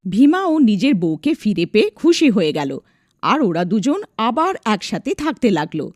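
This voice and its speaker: Lively woman narrating a folk tale. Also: medium pitch, normal speed, dry recording, clean studio sound. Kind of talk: scripted storytelling narration.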